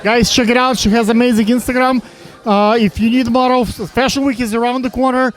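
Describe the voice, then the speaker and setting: A man's voice: very high voice, steady tone, soft background music, poor audio. Stammering man interviewing at a rooftop event. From Ukraine. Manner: halting, repeats words, non-native phrasing. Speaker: interviewer at a fashion show. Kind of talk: casual street interview.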